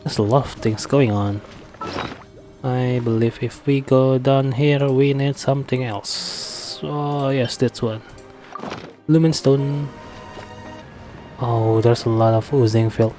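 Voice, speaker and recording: A man giving casual live gameplay commentary as a streamer, reacting in short, halting remarks. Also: medium pitch, fairly even intonation, warm tone. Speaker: game streamer. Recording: faint game music behind.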